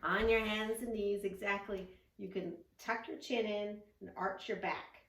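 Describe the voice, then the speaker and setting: A woman reading a story aloud to children and teaching yoga poses with gentle, encouraging praise. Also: medium pitch, steady tone, measured pace, slight background noise. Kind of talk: read-along story with yoga instructions for children. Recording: roomy, echoing, distant microphone.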